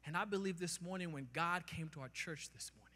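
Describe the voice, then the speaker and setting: Pastor preaching in a big hall. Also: male voice, a little high, brisk, pushed and bright. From Kenya. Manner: preaching with rising emphasis, gesturing while walking the stage. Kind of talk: sermon. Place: large church stage, indoors. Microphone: handheld mic. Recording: long hall reverb.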